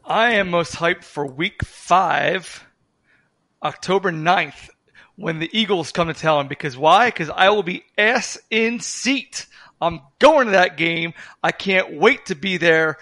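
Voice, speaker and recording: A man talks eagerly and playfully about going to a game, in a high, bright voice. The sound is dry and close. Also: takes it slowly, dry recording. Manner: excitable, playful. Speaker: podcast guest. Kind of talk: casual sports chat.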